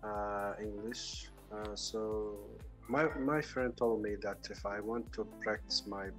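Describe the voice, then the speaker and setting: Hesitant German man, lowish voice. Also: steady tone, fairly bright tone, soft background music. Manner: hesitant, halting non-native English with many fillers. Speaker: student. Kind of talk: casual chat.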